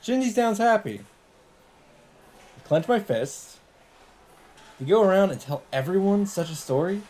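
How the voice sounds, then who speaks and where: A man hosting a let's play, reading visual novel narration aloud in a measured, dramatic way with asides. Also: high-pitched, expressive, darkish tone. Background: guitar game music.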